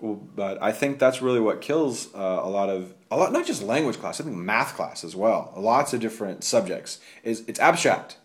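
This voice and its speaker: Low-ish guy host, rambly and chatty, casual talk. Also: lively intonation, moderate pace, hardly any pauses. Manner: chatty, rambling, laughs at his own stories. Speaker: podcast host. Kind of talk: casual conversation.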